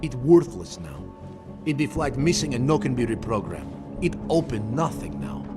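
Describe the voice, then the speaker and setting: An actor playing a gruff, distrustful hacker in a video game, speaking broken English. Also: male voice, medium pitch, sing-song, normal speed, natural voice. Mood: irritated, dismissive. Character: surly, distrustful male hacker in a bar. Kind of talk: video game cutscene acting. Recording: music behind. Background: music.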